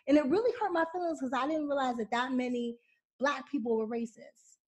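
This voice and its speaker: A woman's voice: high voice, brisk, darkish tone, slightly rough. A woman from Pittsburgh hosting a podcast, talking in a casual, teasing, affectionate way. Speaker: podcast host.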